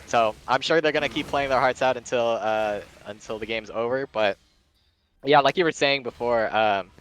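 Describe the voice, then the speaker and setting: A man's voice: medium pitch, moderate pace, fairly bright tone, slight background noise. A man giving live play-by-play commentary on a video game match, mixed with casual chat.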